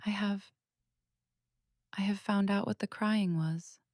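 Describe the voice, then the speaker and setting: A woman voicing an earnest, matter-of-fact little girl who speaks softly but firmly, in a storybook reading. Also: medium pitch, steady tone, takes it slowly, no echo, clean studio sound, voice pushed up, lightly acted. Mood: confiding.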